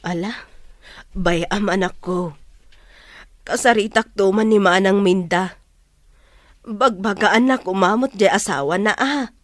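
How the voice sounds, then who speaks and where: A woman with an Ilocano accent playing a gentle, consoling older mother in an audio drama. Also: medium pitch, slow, long pauses, dry recording, studio quality, natural voice. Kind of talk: Filipino audio drama acting.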